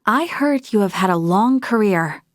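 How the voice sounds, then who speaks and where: A woman's voice: mid-range voice, animated, measured pace, no echo, studio quality, natural voice. An actress voicing an earnest, modest young woman, a space officer from a prestigious family, in a video game, speaking politely and sincerely. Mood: bright, nervous. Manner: polite, self-effacing, sincere.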